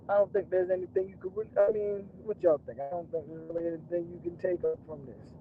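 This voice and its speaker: Hoarse, gravelly man talking from a car. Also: fairly high pitch, a little fast. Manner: keeps clearing throat and coughing, loose rambling talk. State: hoarse, voice nearly gone. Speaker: channel host. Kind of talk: casual livestream reaction. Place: inside a moving car. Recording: tinny phone-line sound with faint car noise. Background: faint car and road noise.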